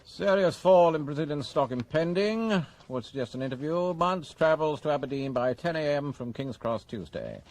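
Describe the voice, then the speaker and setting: An actor playing a measured, questioning senior policeman in a radio drama. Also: a little high, lively intonation, darkish tone. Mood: appraising. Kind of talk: BBC radio drama acting.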